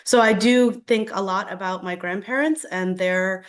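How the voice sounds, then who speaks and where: An American woman giving opening remarks on a panel as a government official, speaking haltingly with many fillers. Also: medium pitch. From United States, raised in Delaware. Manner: hesitant, halting, frequent fillers.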